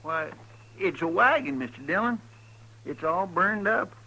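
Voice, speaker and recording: A man's voice: high-pitched, animated, unhurried, sharp tone, exaggerated voice. A man playing a folksy, earnest deputy sidekick with a drawling rural American accent in a 1950s radio western. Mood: startled, anxious. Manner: drawling, deferential, plain-spoken. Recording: faintly noisy old 1950s radio recording.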